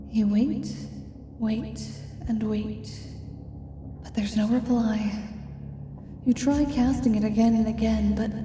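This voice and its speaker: Soft-spoken woman narrating an ASMR roleplay. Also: fairly high pitch, echoey room, in a large hall, distant mic, slight background noise. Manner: soft, hushed second-person narration.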